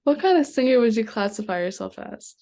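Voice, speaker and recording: A woman's voice: on the high side, animated, fairly quick, dark tone. A woman podcast host asking her guest brief, plain interview questions.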